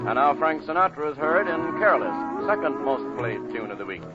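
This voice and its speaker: A man's voice: a little high, big pitch swings, fairly quick. Man, 1940s radio announcer, dull old broadcast recording, band music behind.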